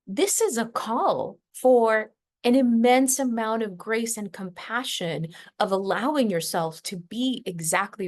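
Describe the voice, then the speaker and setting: A woman with an average-pitched voice gives an unscripted spiritual lesson as a podcast host. She is reflective and emphatic, stressing her points and leaving deliberate pauses inside her sentences as she thinks them through.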